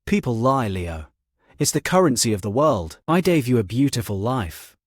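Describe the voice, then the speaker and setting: A man's voice: medium pitch, normal speed, no room sound, clean studio sound. Man voicing a cold, commanding deceitful woman. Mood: bitter, self-justifying. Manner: flat, controlled, menacing.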